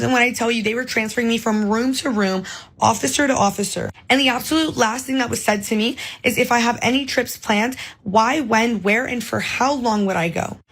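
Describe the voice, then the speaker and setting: A woman's voice: fairly high pitch, a bit bright, low-quality recording. A woman from the United States recounting in indignant detail how she was detained at the border. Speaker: traveler recounting detention. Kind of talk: first-person account to camera.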